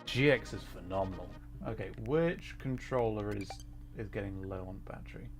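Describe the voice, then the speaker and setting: A man's voice: on the low side, moderate pace, faint music behind. A man livestreaming a video game, chatting casually and thinking aloud in short reactive bursts. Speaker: livestream host, VTuber. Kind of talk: casual livestream chat while playing a racing game.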